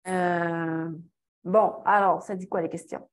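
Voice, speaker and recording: A woman's voice: fairly low pitch, fairly even intonation, slow. A young woman with a Québécois French accent, giving a casual talk and answering questions on a video call, chatty, full of fillers and restarts. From Quebec. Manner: chatty, many fillers and restarts, laughs easily. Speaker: guest speaker, cyclist. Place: small office in front of a banner. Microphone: laptop webcam video call.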